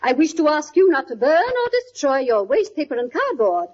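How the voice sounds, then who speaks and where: A woman's voice: high-pitched, sing-song, a little fast. An actress playing a pompous, prim middle-aged society lady in a 1940s radio comedy, speaking formally and precisely. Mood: haughty. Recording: muffled vintage radio recording.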